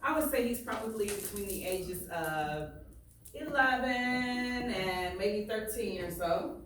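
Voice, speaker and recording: A woman teacher reading aloud and questioning her class in a deliberate way, repeating phrases for emphasis. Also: medium pitch, animated, a bit bright. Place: indoor classroom. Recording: echoey room, far from mic, faint noise.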